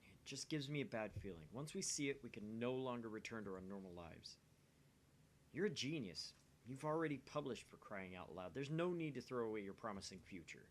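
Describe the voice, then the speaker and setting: A man's voice: mid-range voice, expressive, a little fast, slight background noise, natural voice. A man voicing an intense, theatrical young self-styled mad scientist from a visual novel, with grandiose, urgent delivery. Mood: urgent, grave.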